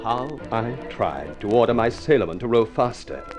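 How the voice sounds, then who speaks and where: A man's voice: medium pitch, expressive, moderate pace, a little mellow, faint music behind, lightly acted. An actor playing a glib, grandiloquent man with a flowery tongue in a vintage radio drama. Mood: breathless storytelling. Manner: florid, grandiloquent delivery. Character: ugly, glib, flowery-tongued suitor turned pushy remedy salesman. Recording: noisy vintage radio recording.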